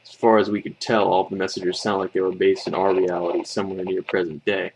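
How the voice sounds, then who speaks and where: A man narrates a scripted creepypasta in a low-pitched voice. His delivery has a noticeable gravelly fry, and there is faint noise in the recording. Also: flat delivery, fairly quick. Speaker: horror story narrator.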